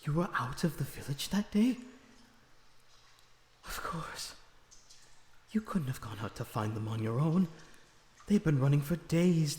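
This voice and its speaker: A man playing a lonesome, remorseful, gentle vampire prisoner in an audio roleplay, speaking in an earnest, halting, emotional way. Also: fairly high pitch, natural voice. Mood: bitter, urgent. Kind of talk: dramatic audio roleplay acting. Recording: echoey reverberant dungeon-like sound, background noise.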